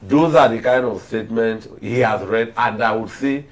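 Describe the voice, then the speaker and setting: A man with a Nigerian accent giving political commentary on a TV panel in measured, oratorical phrasing. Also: on the low side.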